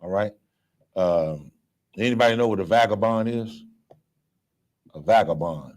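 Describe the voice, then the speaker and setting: A man's voice: lowish voice, measured pace, darkish tone. A man, leading a scripture class in a casual, coarse way and quizzing his listeners with "right?". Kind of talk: street-style scripture lecture.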